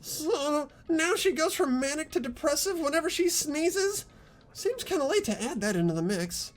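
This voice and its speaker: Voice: sits high, big pitch swings, normal speed, soft background music. A performer voicing an earnest, hesitant ordinary teenage boy who narrates and speaks in a fan-dubbed visual novel. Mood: puzzled.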